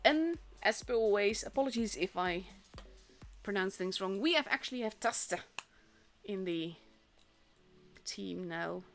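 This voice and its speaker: Dutch girl casting games on stream, chatty, lots of likes and restarts, soft music in the back. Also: medium pitch, animated, a little slow, gravelly. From Netherlands. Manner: chatty, rambling, frequent fillers and restarts. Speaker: esports caster and streamer. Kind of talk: casual live-stream commentary. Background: faint stream music.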